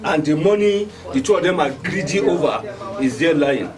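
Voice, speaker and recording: A man's voice: a little high, expressive, moderate pace. A man with a Nigerian accent telling a folktale as a storyteller. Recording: distant mic, loud crowd.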